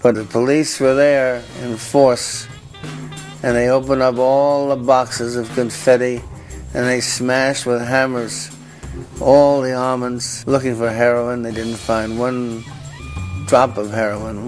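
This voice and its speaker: A man's voice: mid-range voice, rough recording. A man retelling an episode in a documentary interview.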